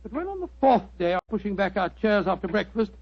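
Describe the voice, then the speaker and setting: Avuncular, proper English doctor and loyal companion, high-pitched, 1940s radio storytelling, dull sound. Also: male voice, big pitch swings, fairly quick, slightly rough, full character voice.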